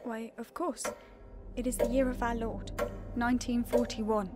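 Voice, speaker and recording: An actress playing a timid, naive young maid, a lost spirit, hesitant and deferential, in an audio drama. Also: female voice, fairly high pitch, level tone, normal speed, close to an everyday voice. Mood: timid wonder. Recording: loud music under the voice.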